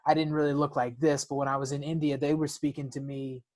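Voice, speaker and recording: A man's voice: fairly high pitch, flat delivery, fast talker, a little mellow. A man co-hosting a podcast, speaking reflectively in casual conversation, with frequent restarts and repeated words. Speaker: podcast co-host.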